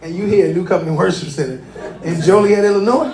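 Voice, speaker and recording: A man's voice: high voice, animated, quick pace, a little mellow, some chatter behind. A man, a pastor, welcoming guests and leading a closing prayer in a folksy, emphatic way, laughing often. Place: church sanctuary. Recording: echoey church room.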